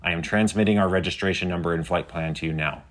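A man's voice: deep voice, steady tone, fast talker, a bit bright. Man voicing a precise little storybook robot. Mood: earnest, precise. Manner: formal, precise, recites codes and numbers. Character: small loyal robot, former pocket calculator, earnest and precise. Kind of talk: scripted children's audio story.

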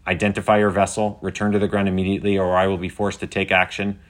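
A man's voice: deep voice, completely flat delivery, quick pace, close to an everyday voice. A stern government drone from a children's adventure story voiced by a man. It issues commands in a clipped, official manner, with no room for argument. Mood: stern, commanding. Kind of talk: scripted children's audio story.

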